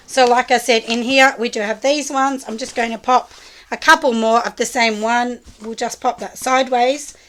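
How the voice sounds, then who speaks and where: A woman who makes soap, chatting with viewers as she packs orders in her small studio, husky and hoarse from a cold. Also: fairly high pitch, fairly even intonation, moderate pace, fairly bright tone. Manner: chatty, talks straight to the viewers. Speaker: soap maker running a small business. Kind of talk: casual chat while packing orders. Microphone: camera set low on a surface.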